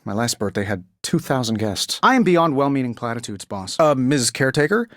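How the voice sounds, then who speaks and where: An actor voicing a cocky, wisecracking genius inventor superhero in a video game, with an American accent and a sarcastic, glib delivery. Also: male voice, medium pitch, expressive, fairly quick, fairly bright tone, no room sound, studio quality, close to an everyday voice. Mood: wry banter. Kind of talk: video game character voice lines.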